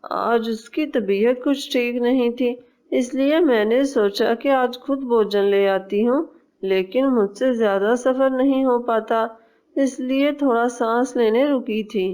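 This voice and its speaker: Woman as a slow, gentle older mother bird. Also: a little high. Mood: weary, grateful. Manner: polite, appreciative.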